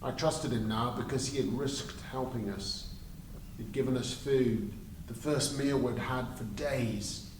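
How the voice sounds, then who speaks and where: A man giving an expressive, emphatic dramatic reading aloud, in the style of a teacher telling a story. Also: medium pitch, measured pace. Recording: roomy, distant mic, faint noise.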